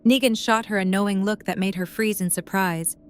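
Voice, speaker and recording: A woman's voice: medium pitch, fairly even intonation, a little fast. A woman narrating a scripted story in the third person for an audio drama. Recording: faint background noise.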